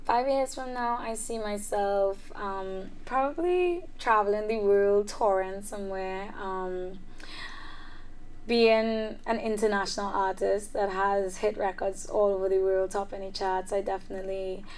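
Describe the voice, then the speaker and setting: A woman's voice: mid-range voice, unhurried, darkish tone. A young woman from Trinidad and Tobago, a singer-songwriter answering interview questions in a chatty way, with frequent filler words.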